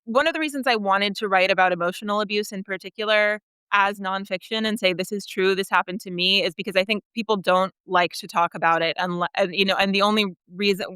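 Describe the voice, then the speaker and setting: A woman's voice: medium pitch, fairly even intonation, quick pace, hardly any pauses, bright, forceful tone, clean tone, no echo. A woman in her thirties talking candidly about her own life as a writer being interviewed. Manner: candid, chuckles as she talks. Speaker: writer, podcast guest. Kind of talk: conversational interview, personal storytelling.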